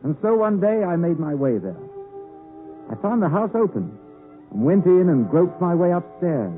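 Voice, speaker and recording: An actor playing an earnest, passionate young English gentleman who narrates his story in a theatrical 1950s radio drama style. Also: sits high, big pitch swings, normal speed. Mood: haunted, reflective. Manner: theatrical, emotive. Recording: vintage 1950s radio, tinny, music behind.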